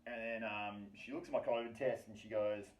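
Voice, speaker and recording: Low-voiced man in an echoey room. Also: steady tone, brisk. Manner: heavy casual swearing, slangy; wry, self-mocking. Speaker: storyteller, host of his own story series. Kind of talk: casual first-person storytelling. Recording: echoey room, distant from mic.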